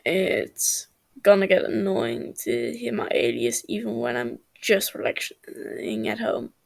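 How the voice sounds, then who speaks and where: A woman voicing a stern, big-brotherly male villain with a dry, calm manner in a story reading. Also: mid-range voice, slow, vocal fry, exaggerated voice. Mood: awkward, casual. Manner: dry, calm, hesitant when sincere.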